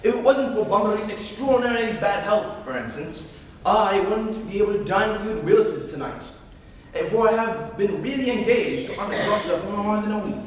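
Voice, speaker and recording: A teenage male student playing a flippant, teasing young Victorian gentleman in a dramatic stage reading, with an arch, theatrical manner. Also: high voice, animated, fairly quick. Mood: smug. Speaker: student actor. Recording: distant, very echoey hall, noisy.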